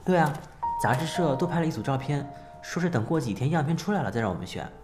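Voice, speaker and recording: Chatty young sidekick assistant, curious and incredulous, low female voice with animated intonation, music behind. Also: fast talker. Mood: matter-of-fact. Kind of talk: modern Chinese audio drama acting.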